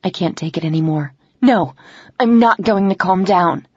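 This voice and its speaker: A woman voicing a grieving, furious young woman who confronts her lover in heated, shrieking outbursts, with a husky, gravelly voice. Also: medium pitch, lively intonation, unhurried, a little mellow, no room sound, lo-fi sound.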